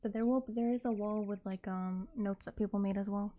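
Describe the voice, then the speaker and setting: A woman's voice: mid-range voice, steady tone, brisk, dark tone. A woman chatting casually to her livestream viewers in a rambling, repetitive way. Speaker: streamer, artist and ASMR creator.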